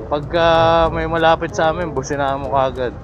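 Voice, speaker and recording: A man, a motorcycle taxi rider chatting casually and teasing over a helmet intercom, quick to laugh. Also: a little high, fairly even intonation. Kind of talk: casual joking intercom chat. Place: outdoors on a motorcycle in street traffic. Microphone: helmet intercom microphone. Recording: dull helmet intercom audio with music.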